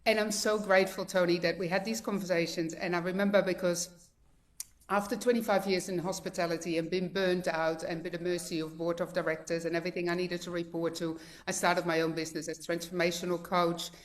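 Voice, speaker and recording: A woman's voice: on the low side, flat delivery, a little fast. A woman in her fifties from the Netherlands with a mixed Dutch accent, sharing her personal story as a guest speaker on a video call in a chatty, heartfelt way with many fillers. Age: 50s.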